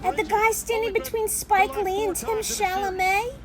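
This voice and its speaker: An actress playing an excitable woman watching sport in an audio drama, with an emphatic, drawn-out delivery. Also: very high voice, over loud music. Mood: eager observing.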